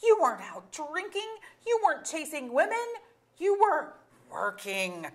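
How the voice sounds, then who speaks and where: An actress plays an exasperated middle-aged mother in a naturalistic stage comedy. Her voice sits fairly high, and she speaks with candid, sardonic frankness. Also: sing-song, a little slow, heavily acted. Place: auditorium stage.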